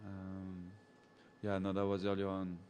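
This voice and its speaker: Low-voiced man with a French accent. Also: measured pace, slight background noise. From French-speaking. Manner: relaxed and chatty, laughs often, answers viewers by name. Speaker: safari guide presenting a live chat. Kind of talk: casual live chat reading viewer comments.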